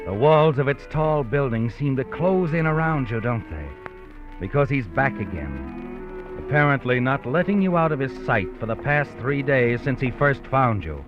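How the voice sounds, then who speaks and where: A man's voice: medium pitch, animated, normal speed, lightly acted. An actor voicing an ominous male narrator who speaks to the hero in brooding second-person narration, in a 1950s radio drama. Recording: lo-fi 1950s radio recording with music under.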